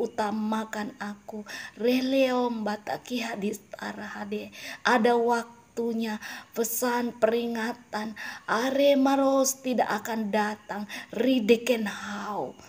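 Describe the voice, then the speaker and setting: A woman's voice: medium pitch, darkish tone. A woman delivering a solemn prophetic message in God's voice, mixing in speaking in tongues and whispered passages. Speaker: prophetic preacher.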